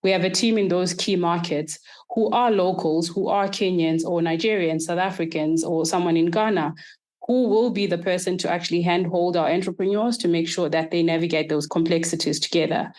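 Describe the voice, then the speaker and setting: A woman from Zimbabwe, answering podcast interview questions in a measured, structured way. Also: on the low side, steady tone, darkish tone. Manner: measured, structured, explains in lists. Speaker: guest, startup accelerator executive.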